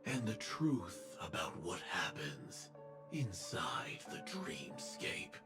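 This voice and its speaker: A man playing a grim, weary killer in cold armor in a video game, speaking slowly and solemnly in terse phrases. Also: low-pitched, big pitch swings, vocal fry, full character voice. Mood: cold, guarded. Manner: slow, terse, solemn delivery. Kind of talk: scripted video game dialogue. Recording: game music behind the voice.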